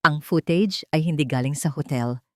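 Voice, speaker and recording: Woman voicing a low, firm, calculating patriarch. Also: dark tone, no echo, clean studio sound, natural voice. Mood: firm, unflinching. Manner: measured, terse, unyielding. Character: calculating, controlled wealthy father, low firm voice.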